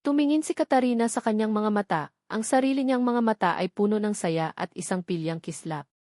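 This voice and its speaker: A woman narrating a scripted romance audio drama in an even storytelling manner. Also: medium pitch, brisk, no echo, clean studio sound.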